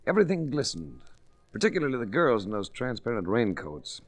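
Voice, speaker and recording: An actor playing a wry, laconic adventurer detective, narrating and talking in hard-boiled 1940s radio style. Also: male voice, medium pitch, huge pitch swings, a little fast, no room sound. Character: wry, cool male ex-attorney adventurer detective. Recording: noisy old 1940s radio recording.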